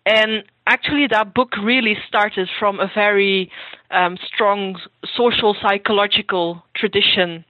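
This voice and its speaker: A woman's voice: medium pitch, fairly even intonation, unhurried, no echo. Woman on a tinny phone-like line. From Flanders, Belgium. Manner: hesitant with frequent ums and restarts. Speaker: lecturer in education. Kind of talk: interview answers.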